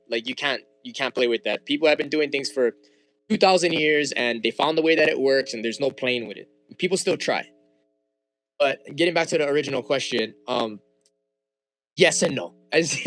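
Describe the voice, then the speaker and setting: A young man with a Hawaiian accent, a guest in a relaxed interview, talking loosely with slang and frequent restarts. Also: mid-range voice. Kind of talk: casual conversational interview.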